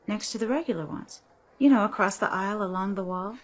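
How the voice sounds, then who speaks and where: A woman's voice: medium pitch, fairly quick, close to an everyday voice. An actress playing a bewildered, uneasy woman customer in a radio drama, speaking hesitantly and searching for her words. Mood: puzzled.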